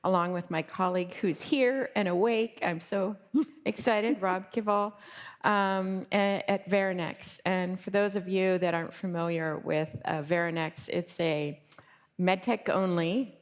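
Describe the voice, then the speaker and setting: A woman executive speaking as a panelist at a conference, chatty, with many fillers, rattling off lists quickly. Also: lowish voice. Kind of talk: panel discussion. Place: conference hall. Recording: reverberant conference hall.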